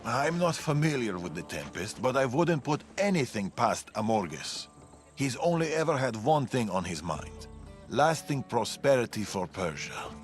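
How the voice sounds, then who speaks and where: An actor playing a guarded, weathered male veteran assassin from Persia in a video game, measured and serious. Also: medium pitch, very animated, faint music behind. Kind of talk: video game cutscene acting.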